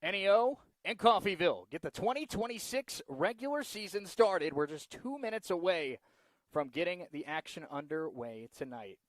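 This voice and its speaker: A man calls a soccer broadcast in a fairly high voice. He reads out lineups in measured phrases, leaning on the start of each one. The sound is dry, with very little room to it. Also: big pitch swings, dry recording. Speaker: sports play-by-play announcer. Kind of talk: live soccer broadcast commentary, reading lineups.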